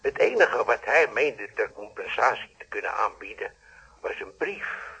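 An actor with a Netherlands Dutch accent playing a coolly insistent, blackmailing moneylender in a radio play, measured and falsely polite. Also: male voice, fairly low pitch, sing-song, creaky, bright, forceful tone, slightly rough, exaggerated voice. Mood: insistent, calculating. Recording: dull, band-limited old radio play.

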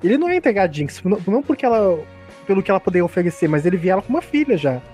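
A man on a casual podcast who explains a story point quickly, packing his talk with fillers. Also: sits high, very animated, dark tone, faint music behind. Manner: rapid, explains with many fillers. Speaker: podcast co-host. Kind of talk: casual group chat.

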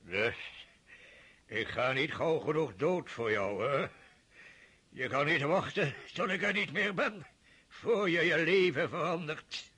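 Radio play patriarch: a biting, domineering, ailing old prince, high-ish, forceful, rasping. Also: male voice, slow pace, full character voice. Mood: sarcastic, bitter. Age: older. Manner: biting, sardonic.

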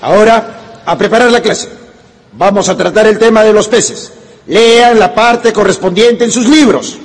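An actor playing a formal, firm schoolteacher in a radio drama, instructing with authority. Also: male voice, high-pitched, a little slow, a little hiss or hum. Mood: commanding. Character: formal, firm male schoolteacher addressing a young pupil.

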